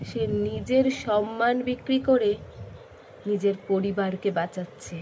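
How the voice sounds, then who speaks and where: Woman acting a high-pitched, wounded, defiant sex worker. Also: slight background noise. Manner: emotional, rhetorical, with heavy dramatic pauses. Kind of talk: theatrical dramatic monologue.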